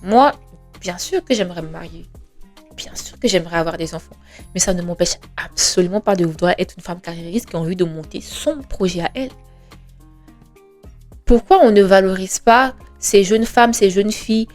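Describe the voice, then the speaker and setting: A woman's voice: lowish voice, normal speed, darkish tone. A woman in her twenties hosting a podcast, talking in an earnest, conversational way, with frequent hesitations, rhetorical questions and emphatic reassurance. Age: 20s. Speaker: podcast host. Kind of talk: reflective monologue. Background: faint background music.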